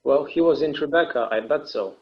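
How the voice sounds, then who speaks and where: A man's voice: on the high side, brisk, natural voice. A man playing an easygoing, imaginative husband in a casual stage play table read, on a slightly dull microphone. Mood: listening, agreeable. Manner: casual, musing, agreeable. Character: easygoing, imaginative husband who enjoys inventing games.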